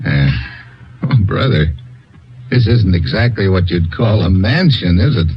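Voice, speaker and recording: A blunt American spy agent with a wry, clipped tough-guy delivery. His voice sits low and steady. It comes through the dull, narrow sound of an old radio broadcast. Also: measured pace, slight background noise. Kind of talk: 1950s radio drama acting.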